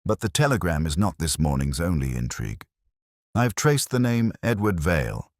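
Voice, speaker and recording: A man reading as a deep-voiced, commanding detective. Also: big pitch swings, moderate pace, no echo, studio quality. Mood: intent, precise. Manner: precise, declarative, formal. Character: keen, commanding male detective, precise and theatrical.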